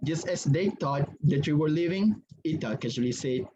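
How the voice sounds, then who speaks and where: A man's voice: fairly high pitch, normal speed. Man narrating, slightly muffled. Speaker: story narrator. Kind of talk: dramatic reading of a story. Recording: dull lo-fi sound, background noise.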